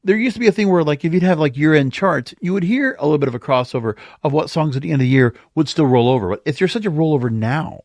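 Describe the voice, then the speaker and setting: A man's voice: a little high, lively intonation, fast, hardly any pauses, a little mellow, no echo. A man hosting a podcast, giving casual music chart commentary and thinking aloud as he reads out figures. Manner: off-the-cuff, thinking aloud while reading figures. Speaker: podcast host.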